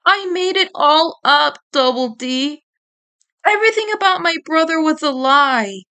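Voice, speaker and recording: A woman's voice: high voice, expressive, unhurried, sharp tone, voice pushed up, exaggerated voice. A woman voicing a remorseful, emotional boy schemer in a cartoon story. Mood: tearful confession.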